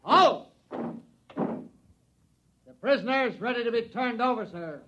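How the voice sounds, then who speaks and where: Military underling for radio sci-fi: high, forceful, formal, barks to superiors. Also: male voice, expressive, a little slow, highs cut off, heavily acted. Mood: barking, dutiful. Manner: clipped, formal, projected. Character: dutiful soldier barking military reports to his colonel. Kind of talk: 1950s radio drama acting.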